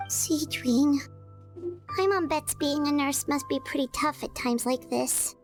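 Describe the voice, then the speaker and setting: A woman voicing a tiny, bubbly fairy companion girl in a game, chirpy and animated. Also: high voice, measured pace. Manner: chirpy, animated, talks of herself by name. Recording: game audio with faint music.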